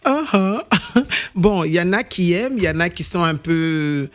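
A woman's voice: fairly low pitch, expressive, measured pace. A woman singer being interviewed in a radio studio, explaining her views earnestly and repeating herself for emphasis. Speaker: singer and guest.

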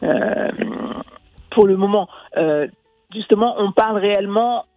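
A woman's voice: mid-range voice, measured pace, dry recording. A woman from Mali who grew up in France, a guest coach explaining things earnestly in a radio interview, with many fillers and restarts. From Mali, raised in France. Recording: phone-like line, dry, faint music.

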